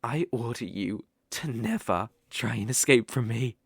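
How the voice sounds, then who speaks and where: A man's voice: medium pitch, lively intonation, creaky, breathy edge, dry recording, studio quality, exaggerated voice. A British man playing a sly, possessive, lovestruck fox-spirit mage in an ASMR audio roleplay, coaxing and easily flustered. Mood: possessive, smug. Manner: coaxing, slow, with eager flustered outbursts.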